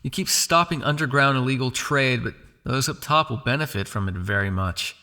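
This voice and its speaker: A man's voice: mid-range voice, normal speed, close to an everyday voice. A man playing a smug, possessive vampire crime lord in an ASMR roleplay, with a taunting, silky, condescending delivery. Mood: smug, probing. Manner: taunting, silky, condescending with sighs and soft shushing.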